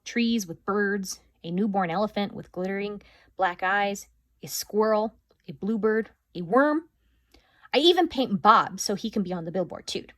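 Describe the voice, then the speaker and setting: A woman teacher reading a chapter book aloud to her class, with expressive, lively storytelling. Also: mid-range voice, moderate pace, no echo.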